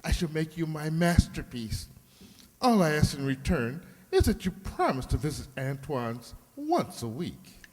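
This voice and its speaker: An actor playing an effusive, flattering beauty-salon stylist in a flowery, affected manner, in a comic radio play. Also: male voice, on the high side, unhurried. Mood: theatrical, flattering. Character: gushing, theatrical male hairdresser, flattering and two-faced. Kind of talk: comic old-time radio sitcom acting. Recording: echoey hall with long reverb.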